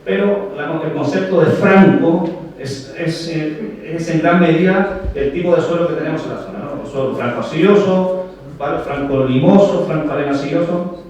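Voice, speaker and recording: Male speaker, Chilean Spanish accent, conference lecture, keeps checking in with the audience; fairly high voice, recorded far off in a very echoey hall. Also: normal speed, a little mellow. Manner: explanatory, frequent "¿vale?" checks, rhetorical questions to the audience. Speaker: agronomy speaker. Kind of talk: technical conference talk.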